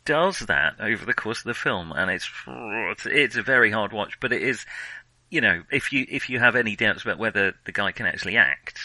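A man co-hosting a film podcast, talking casually and thinking aloud with frequent hesitant pauses. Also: lowish voice, lively intonation, bright, forceful tone, no room sound, low-quality recording. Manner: hesitant, thinking aloud, pausing often mid-sentence.

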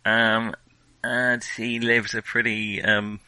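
A man co-hosting a film podcast, talking casually and thinking aloud with frequent hesitant pauses. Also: lowish voice, flat delivery, sharp tone, no echo, low-quality recording. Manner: hesitant, thinking aloud, pausing often mid-sentence.

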